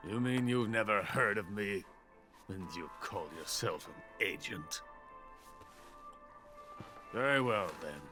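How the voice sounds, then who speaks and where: Pompous drunken Soviet scientist. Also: male voice, lowish voice, slow pace, pauses often, bright, forceful tone, faint music behind. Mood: pompous, boastful. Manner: pompous, self-important. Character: drunk, bitter, boastful older male Soviet weapons scientist. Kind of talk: video game cutscene acting.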